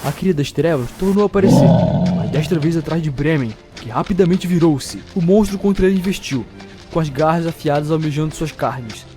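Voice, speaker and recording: Higher-voiced Brazilian man narrating fantasy. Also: lively intonation, a little fast. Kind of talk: dramatic scripted fantasy storytelling. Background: music.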